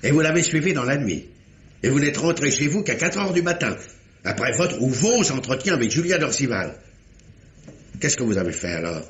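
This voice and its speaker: Guy acting strict investigating judge, old french radio drama, formal and insistent, mid-pitched voice. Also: big pitch swings, lightly acted. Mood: probing, stern. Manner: formal, probing, deliberate. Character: stern examining magistrate, paternal uncle, insistent and measured.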